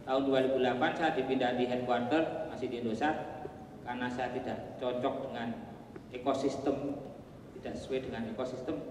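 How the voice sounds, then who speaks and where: A man with a Javanese accent giving a relaxed conference talk, with joking asides and plenty of fillers. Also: mid-range voice, moderate pace, noisy background. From Java. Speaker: conference presenter. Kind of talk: casual technical presentation. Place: large hall. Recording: echoey hall, voice from far off.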